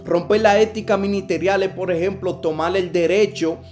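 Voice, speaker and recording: A man's voice: high voice, fairly even intonation, normal speed, darkish tone. Dominican male evangelist, earnest and emphatic, filler-heavy, light guitar underneath. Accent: Dominican Spanish. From Dominican Republic. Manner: earnest, repetitive, many filler "eh"s, rising emphatic. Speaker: evangelist preacher. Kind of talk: sermon over video call. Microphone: video call.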